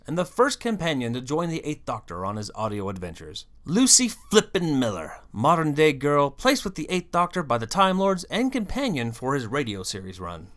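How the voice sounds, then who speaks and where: Animated, emphatic video essay narrator. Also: mid-range voice. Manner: emphatic, theatrical delivery with wry asides. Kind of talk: scripted narration, explanatory fan commentary.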